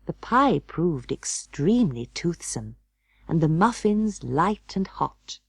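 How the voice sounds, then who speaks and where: Unhurried woman reading a storybook. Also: lowish voice, gravelly, breathy edge, dry recording. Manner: measured, expressive. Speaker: audiobook narrator. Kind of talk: scripted storybook narration.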